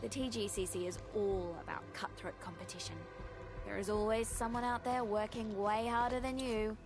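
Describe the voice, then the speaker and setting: A woman's voice: mid-range voice, steady tone, moderate pace, lightly acted. An actress playing a resourceful, composed young woman agent with a wry, instructing manner in a video game. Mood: wry, candid. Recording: game music behind the voice.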